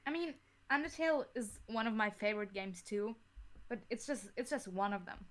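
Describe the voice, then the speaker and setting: A woman's voice: mid-range voice, normal speed. A young woman chatting casually as a podcast guest. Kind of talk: casual chat.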